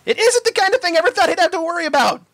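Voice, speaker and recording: A man's voice: sits high, sing-song, moderate pace, fairly bright tone, dry recording, voice pushed up, heavily acted. A man voicing a prim, easily flustered young female pony, fussy in manner, in a read-aloud story. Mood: anxious.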